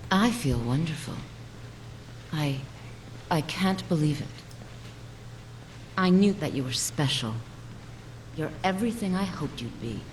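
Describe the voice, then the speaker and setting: A woman's voice: sits low, lively intonation, a little slow, long pauses, a little hiss or hum, rough recording. An actress playing a lonely, smitten woman in a video game, with tender, yearning delivery. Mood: tender, emotional. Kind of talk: scripted game dialogue.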